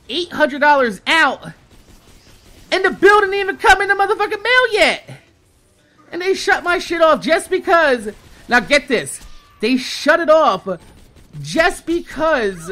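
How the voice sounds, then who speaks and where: A young American man hosts a casual venting video in a bright, forceful voice. His pitch swings widely as he complains in an exasperated way, leaning on profanity and repeating words for emphasis. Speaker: YouTube host. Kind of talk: casual storytime rant.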